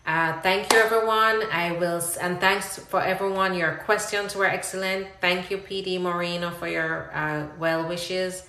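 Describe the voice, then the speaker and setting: A woman's voice: on the low side, little pitch movement, moderate pace, hardly any pauses, sharp tone, roomy sound. A woman with a Jamaican accent, an immigration attorney answering questions in a live-stream Q&A, explaining carefully with frequent ums and restarts.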